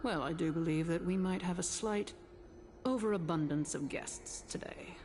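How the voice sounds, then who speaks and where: A woman's voice: fairly low pitch, moderate pace, darkish tone, soft background music, natural voice. A woman voicing an imperious, scheming council elder in a video game, with formal, grave, oratorical delivery. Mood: wry, calculating.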